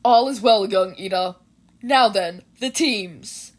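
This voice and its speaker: A woman's voice: medium pitch, takes it slowly, pitched below the performer's own voice. A woman voicing a commanding, hearty male hero teacher with a formal, announcing manner in a fan fiction reading. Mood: hearty, authoritative.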